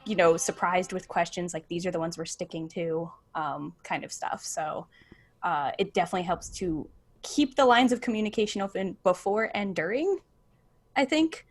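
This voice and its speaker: A woman's voice: fairly low pitch, moderate pace. A woman podcaster talking casually on a panel in an informal, chatty way.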